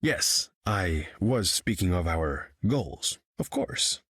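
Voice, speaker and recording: A proud, regal rival in a video game. His voice is fairly low and husky, sliding expressively through formal, smug, insinuating phrases. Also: very animated, slow pace, fairly bright tone, dry recording, studio quality, full character voice. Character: haughty, sly, regal male rival.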